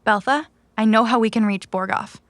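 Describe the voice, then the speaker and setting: Woman playing an earnest, higher-voiced young runner. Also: steady tone, fairly quick, dry recording, close to an everyday voice. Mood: determined. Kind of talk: audio drama acting.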